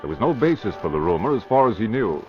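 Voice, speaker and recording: A man's voice: lowish voice, very animated, quick pace. A man narrating a vintage radio crime drama in a formal scripted storytelling style. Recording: dull vintage radio recording. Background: music.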